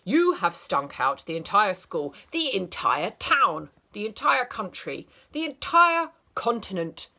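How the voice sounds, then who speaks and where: A woman's voice: medium pitch, big pitch swings, unhurried, few pauses, exaggerated voice. A woman voicing a furious, spiteful old female schoolteacher who barks out punishments. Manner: shouting, spiteful, barking orders.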